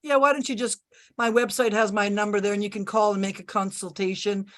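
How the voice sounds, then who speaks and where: A woman with a middle-pitched voice speaks with confidence. She gives health advice on a video call and leans on homely sayings as she talks. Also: fairly even intonation, brisk. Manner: confident, folksy, uses sayings. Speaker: natural health practitioner.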